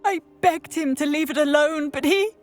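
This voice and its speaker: A woman's voice: high voice, moderate pace, soft background music, lightly acted. An actress playing a tearful, fearful, newly widowed mother in a video game. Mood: bitter. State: tearful, close to crying. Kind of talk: video game character acting.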